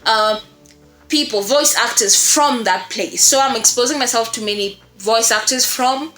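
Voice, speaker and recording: A woman's voice: fairly high pitch, a little slow, a bit bright. A woman giving an informal tutorial as a voice-acting coach, speaking in an encouraging, emphatic way and often repeating herself for stress. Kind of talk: informal instructional talk to viewers. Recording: roomy echo with music behind. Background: music.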